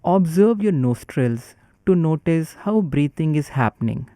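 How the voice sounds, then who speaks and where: Male meditation guide, warm dark tone, unhurried calm instruction. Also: fairly high pitch, dry recording, clean studio sound.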